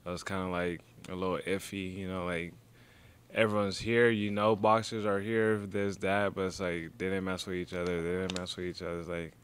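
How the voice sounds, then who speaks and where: A young man from Congo whose first language is French, a boxer answering interview questions in a laid-back, soft-spoken way full of fillers. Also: deep voice, level tone, brisk, slight background noise. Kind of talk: casual interview chat.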